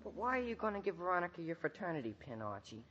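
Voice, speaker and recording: Droll, lively teenage sidekick. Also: male voice, high voice, fast, slightly rough, muffled recording, heavily acted. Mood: doubtful. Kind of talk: old-time radio situation comedy acting.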